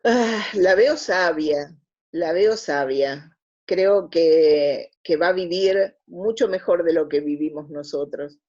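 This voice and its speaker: A woman in her sixties, speaking with a Buenos Aires accent, heard through dull, lo-fi audio. She recounts memories as an interview guest in an expressive, conversational way, often pausing to search for the next phrase. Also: fairly low pitch. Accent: Buenos Aires Spanish. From Argentina.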